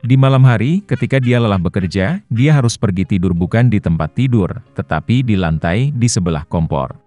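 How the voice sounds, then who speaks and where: Male storyteller, dark soft-edged tone, roomy echo, scripted children's fairy tale narration, faint music underneath. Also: fairly low pitch, lively intonation, a little fast. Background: faint background music.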